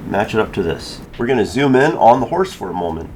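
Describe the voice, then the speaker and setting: A man giving a casual software tutorial, informally thinking aloud as he works through each step. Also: on the low side, very animated, quick pace, a little hiss or hum. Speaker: tutorial creator.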